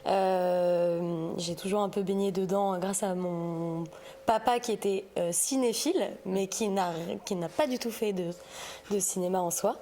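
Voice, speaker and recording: A woman speaking casually as a podcast guest, pausing often as she tells about her work. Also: medium pitch, warm tone. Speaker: podcast guest, audiovisual sustainability consultant. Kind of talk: casual interview storytelling.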